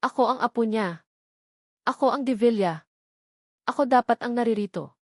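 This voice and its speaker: A woman's voice: a little high, moderate pace, no echo, studio quality, pitched above the performer's own voice. A woman voicing a spoiled young heiress in a drama story, furious, snarling and full of contempt. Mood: pure anger.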